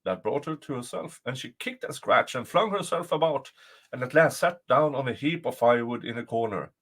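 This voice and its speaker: A male storyteller reads a folktale aloud. His intonation swings widely, and he phrases things deliberately for dramatic effect. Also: mid-range voice, animated, a bit bright. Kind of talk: expressive folktale reading.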